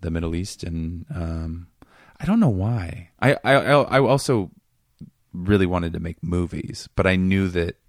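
A man from Seattle, a comedian guest in casual interview chat, talking in a rambling, self-deprecating way with a voice that is getting raspy. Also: sits low, animated, measured pace, no room sound, clean studio sound. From Seattle area, USA.

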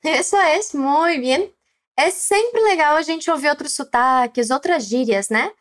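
A woman with a Brazilian Portuguese accent, teaching a language lesson slowly and clearly, prompting the listener to repeat. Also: sits high, expressive. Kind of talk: scripted lesson narration.

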